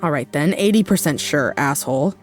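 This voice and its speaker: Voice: medium pitch, animated, fairly quick, faint music behind, close to an everyday voice. An actor playing a cocky, blunt, streetwise gambler in an audio drama, talking casually and irreverently. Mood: irritated. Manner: casual, irreverent, plain-spoken. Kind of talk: dramatic audio fiction acting.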